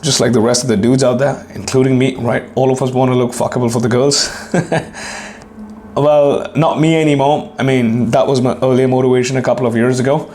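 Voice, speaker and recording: Hoarse young man, rambling and profane. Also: medium pitch, level tone, normal speed, a little mellow, a little hiss or hum. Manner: profane, rambling, self-deprecating. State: hoarse, clearing throat. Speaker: podcast host and fitness coach. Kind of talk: casual solo podcast talk.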